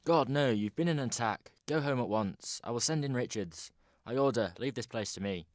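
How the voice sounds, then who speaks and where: An actor playing a stern police superior in a radio drama, authoritative and peremptory. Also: male voice, mid-range voice, moderate pace, dry recording, studio quality, lightly acted. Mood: stern, insistent.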